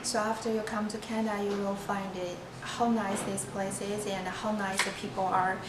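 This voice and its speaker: Flat-voiced woman, far and echoey. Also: medium pitch, normal speed. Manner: flat, recited statements. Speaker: international student. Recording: echoey room from far off, noisy.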